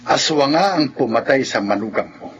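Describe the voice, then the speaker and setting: A man playing a grave village folk healer in a horror radio drama, speaking solemnly and deliberately with a gravelly voice. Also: medium pitch, animated, fairly bright tone. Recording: dull sound, faint ambience behind.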